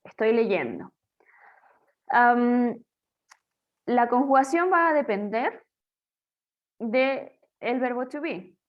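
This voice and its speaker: A woman's voice: a little high, animated, muffled recording. Patient Spanish-speaking woman teaching English grammar. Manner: patient, explanatory, asks and answers own questions; slows down to model English example sentences. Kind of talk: recorded grammar lesson.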